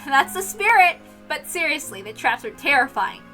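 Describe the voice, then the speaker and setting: A woman's voice: high-pitched, sing-song, moderate pace, pushed and bright, soft background music, heavily acted. A woman voicing a teasing, playfully menacing young woman who sets puzzles in a visual novel read-aloud.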